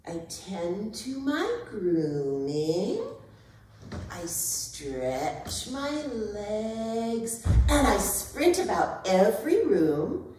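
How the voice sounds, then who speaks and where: A woman's voice: medium pitch, big pitch swings, very slow, mellow, a little hiss or hum. Haughty cat, played by a woman, distant and echoey. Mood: indignant. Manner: pompous, formal, precise diction. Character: haughty, stubborn, indignant female pet cat named Callie. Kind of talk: theatrical staged dramatic reading. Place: arts centre performance space. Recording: echoey hall, distant microphone.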